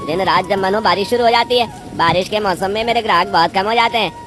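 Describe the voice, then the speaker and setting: A man's voice: high voice, fast talker, pitched above the performer's own voice, close to an everyday voice. A man voicing a harried, grumbling village barber in a cartoon story. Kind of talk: cartoon story character acting. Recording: music behind the voice.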